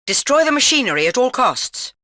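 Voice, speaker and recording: A woman's voice: sits high, sing-song, fairly quick, bright, forceful tone, exaggerated voice. A woman playing a stern British spy chief, giving clipped, formal orders as video game mission briefings. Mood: urgent.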